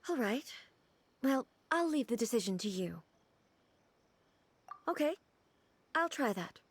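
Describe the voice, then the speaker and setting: A woman voicing a regal, composed female ruler in a video game cutscene, speaking in measured, formal and earnest sentences. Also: fairly high pitch, sing-song, husky, full character voice. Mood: puzzled.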